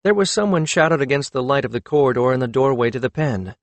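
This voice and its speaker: A man's voice: mid-range voice, expressive, fast talker, no room sound. A man reading a fantasy story as an audiobook narrator, in scripted narration.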